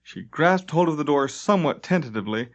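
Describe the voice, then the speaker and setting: A man's voice: fairly high pitch, animated, brisk. A man reading scripted fantasy narration dramatically, as an audiobook narrator. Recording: dull lo-fi tape transfer.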